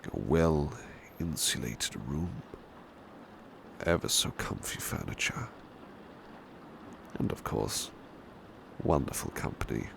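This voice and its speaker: Man as gentle British butler, ASMR roleplay, unhurried hushed delivery, courteous and formal, lilting intonation, clear background noise of rain. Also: a bass voice, lively intonation, full character voice. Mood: gentle, inviting. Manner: formal, courteous, hushed and hesitant, with long pauses. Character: devoted, gentle, protective male butler of an estate. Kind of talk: ASMR roleplay, soft intimate speech slipping into whispers.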